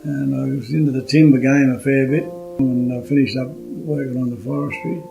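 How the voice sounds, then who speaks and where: An older Australian man with a rough, gravelly edge tells stories of the old days in a plain, laconic way. He halts now and then over fillers. Faint music sits under his voice. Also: medium pitch, fairly even intonation, moderate pace, warm tone, lo-fi sound. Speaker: interviewee, retired forestry worker. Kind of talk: casual reminiscing.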